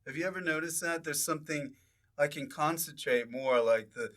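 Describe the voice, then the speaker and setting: A man's voice: a little high, moderate pace, fairly bright tone. A man hosting a casual comedy podcast, chatting in a jokey, riffing manner. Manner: jokey, riffing, mock announcements. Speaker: podcast host. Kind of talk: casual comic chat.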